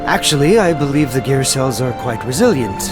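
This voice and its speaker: An actor playing an earnest, idealistic young scientist in an anime game, speaking in a measured, formal way. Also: male voice, mid-range voice, sing-song, natural voice. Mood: calm. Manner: measured, formal, soft-spoken resolve. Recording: loud music behind the voice.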